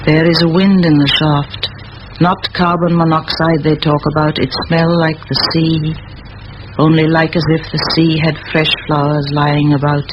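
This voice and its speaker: Brisk schoolmistress, muffled hissy recording. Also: female voice, deep voice, level tone. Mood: reading, absorbed. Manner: crisp, commanding, dryly ironic. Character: brisk, exacting spinster schoolmistress, dry-witted and forthright. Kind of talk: vintage radio play acting. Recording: muffled old radio recording, loud hiss.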